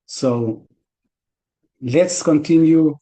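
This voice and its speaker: Mellow-voiced South African man. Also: on the high side, drawn-out words, poor audio. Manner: earnest, loose conversational speaking. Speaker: conference organizer. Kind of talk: online conference talk. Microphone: computer mic on a video call.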